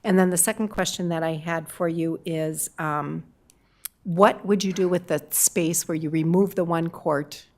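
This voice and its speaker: A woman's voice: on the low side, steady tone. Woman chairing a council meeting. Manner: brisk, procedural. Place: council chamber.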